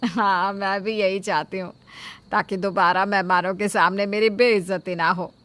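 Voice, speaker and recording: Woman acting a loud, bossy mother-in-law. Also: mid-range voice, fairly even intonation, normal speed, lightly acted. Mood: placating. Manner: scolding, commanding. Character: bossy middle-aged Indian mother-in-law, demanding, fussy about guests. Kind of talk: Hindi cartoon story acting.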